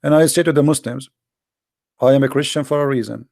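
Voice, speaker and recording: A man's voice: mid-range voice, fairly quick, gravelly, darkish tone, no room sound, studio quality. A man with an Arabic accent, a native Arabic speaker, hosting an informal, impassioned monologue in a blunt, emphatic manner with repeated phrases and rhetorical questions. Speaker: host, religious polemicist.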